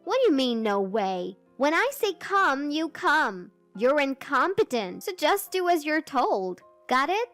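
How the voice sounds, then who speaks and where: A woman voicing a bossy, condescending older sister who talks in a shrill, scornful way. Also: very animated, unhurried, few pauses, fairly bright tone, faint music behind, full character voice.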